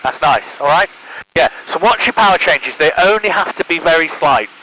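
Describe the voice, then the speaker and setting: A man's voice: fairly high pitch, lively intonation, normal speed, bright, forceful tone, rough recording. A man giving flight instruction in a small aircraft cockpit, patient and reassuring, often checking understanding over a headset intercom. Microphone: aviation headset intercom.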